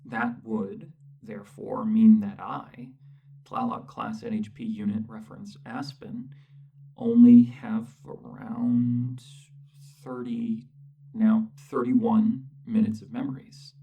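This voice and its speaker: Casting: condescending AI war-mech captain performed by an ASMR actor, mid-pitched, gravelly fry under a breathy near-whisper, bossy and clipped. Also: flat delivery, distant mic, dull audio. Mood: dry, condescending. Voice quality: breathy, often dropping to a whisper. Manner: condescending, clipped, commanding, with deliberate pauses. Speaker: audio roleplay performer. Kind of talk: ASMR roleplay, soft close-up monologue.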